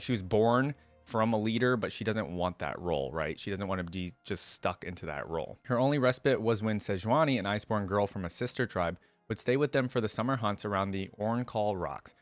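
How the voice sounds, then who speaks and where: A man's voice: lowish voice, dry recording. A man hosting a reaction video, talking casually and thinking aloud as he comments and reads text out.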